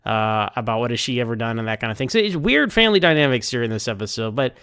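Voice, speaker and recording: Man, mid-range clear voice, for informal episode commentary; conversational, meandering delivery, very dry sound. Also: medium pitch, expressive, quick pace, a bit bright, dry recording. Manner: conversational, hedging, thinking aloud. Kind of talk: casual rambling TV episode review.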